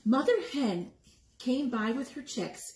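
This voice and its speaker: A woman reading a picture book aloud to young children, expressively, pausing to explain the pictures and ask questions. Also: a little high, animated, darkish tone. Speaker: story time reader. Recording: echoey room with background noise.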